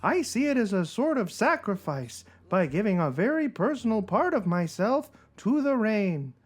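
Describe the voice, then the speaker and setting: A gloomy, self-pitying diarist with a very high voice. The delivery swoops theatrically through wordy, melancholy sentences, as in a dramatic cartoon reading. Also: male voice, sing-song, normal speed. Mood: mournful, wistful. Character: gloomy, mopey young male diary writer who loves rain.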